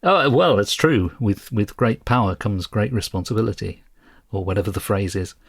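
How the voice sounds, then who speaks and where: Low-voiced man hosting a podcast, close and dry. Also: normal speed, gravelly, husky, no echo. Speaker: podcast co-host. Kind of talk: casual podcast chat.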